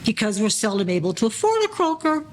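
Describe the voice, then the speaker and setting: A man's voice: high voice, sing-song, fast talker. Man playing a take-charge gangster, noisy recording. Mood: reassuring, brisk. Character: gruff, slangy 1940s gangster acting as a midwife, confident. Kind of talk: old-time radio crime drama acting.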